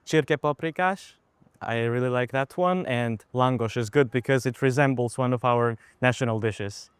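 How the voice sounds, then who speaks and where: A man's voice: medium pitch, normal speed, clean tone, dry recording. A man in his twenties from Georgia, with a Georgian accent, giving articulate interview answers as an international student. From Georgia, Tbilisi. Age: 20s.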